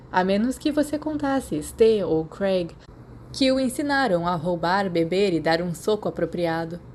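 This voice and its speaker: A woman's voice: medium pitch, darkish tone, slight background noise. A woman with a Brazilian accent reading a story aloud as an audiobook narrator. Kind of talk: scripted fiction narration.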